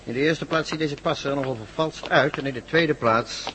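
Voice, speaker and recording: A man's voice: fairly high pitch, fairly quick, natural voice. Actor as curt policeman, noisy old recording. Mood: curt, stern. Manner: formal, commanding. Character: curt, officious policeman making an arrest. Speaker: actor in a radio play. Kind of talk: Dutch radio drama acting.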